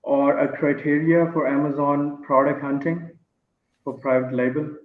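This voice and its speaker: A man's voice: on the high side, flat delivery, measured pace. A man asking a question over a video call with a shaky internet connection, eager in tone. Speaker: audience member asking questions. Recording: tinny echoey call audio.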